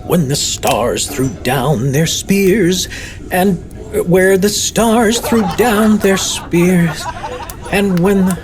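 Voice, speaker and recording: A man's voice: high-pitched, very slow, over music, voice pushed up. A man voicing an aged, humble tavern bard from a fantasy story, with a wavering, pleading delivery. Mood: faltering. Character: frail aged male tavern bard, apologetic, pleading, hysterical.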